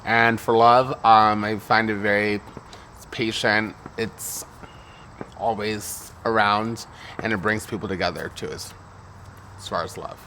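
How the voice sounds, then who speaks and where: Brief: man, 30s, from Harlem, very slow and hesitant, bright lowish voice, faint hiss. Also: fairly even intonation. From Harlem, New York. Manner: halting, hesitant, many fillers. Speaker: church member sharing his story. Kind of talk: casual personal reflection. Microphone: studio interview mic.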